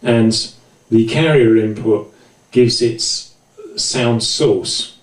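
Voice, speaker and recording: A man's voice: mid-range voice, drawn-out words. Man explaining gear in an echoey room. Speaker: tutorial presenter. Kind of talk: explanatory music gear demonstration. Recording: roomy, echoey, distant mic.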